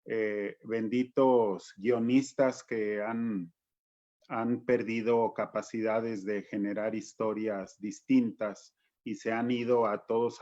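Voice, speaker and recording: Mexican man, halting, in muffled audio. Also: mid-range voice, warm tone. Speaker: panelist on children's reading. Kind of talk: informal panel talk. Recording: dull lo-fi video call audio.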